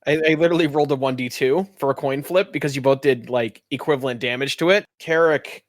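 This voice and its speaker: A man's voice: mid-range voice, fast talker, no echo, studio quality. A man acting as game master, casually narrating a tabletop roleplaying game and calling for rolls.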